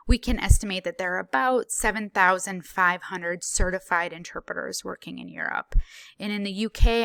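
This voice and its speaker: A woman interprets a presentation aloud, her low voice carrying a touch of fry under a bright, forceful edge. She moves phrase by phrase in a measured, formal manner, with small pauses and fillers. The sound is close and dry, with no room around it. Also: no echo. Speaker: interpreter voicing a signed conference talk. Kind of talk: live spoken interpretation of a presentation.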